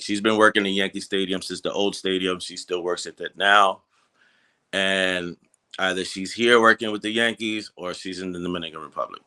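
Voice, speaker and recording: A man with a New York accent speaks in a very deep voice that still carries a bright, forceful edge. He talks loosely and casually, with lots of ums and street slang. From New York City, Washington Heights. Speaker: guest, cannabis business co-founder. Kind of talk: casual interview chat.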